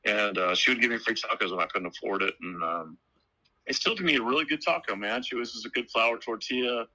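A man with a low, husky voice and a forceful, edgy bite to it, talking as a guest in a relaxed interview. He tells stories in an easygoing way and keeps tossing in "man". The recording is dry and close. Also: fairly quick, no room sound, poor audio. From Houston, Texas. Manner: laid-back storyteller, drops "man" often. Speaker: guest musician. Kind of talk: casual interview chat.

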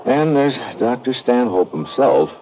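An actor playing a tough, wry, suspicious investigator in a 1950s radio drama, clipped and slangy. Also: male voice, medium pitch, very animated, a little slow. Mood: brisk, suspicious. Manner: clipped, slangy, hard-boiled. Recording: dull noisy old radio recording.

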